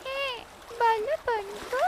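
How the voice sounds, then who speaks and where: A performer voicing a gentle, earnest young water fairy in a children's cartoon. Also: very high-pitched, fairly even intonation, slow pace. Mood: gentle, reassuring. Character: gentle stranded young water fairy mermaid, grateful and generous. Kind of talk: dubbed children's cartoon dialogue. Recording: loud music and water sounds behind. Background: music, splashing water.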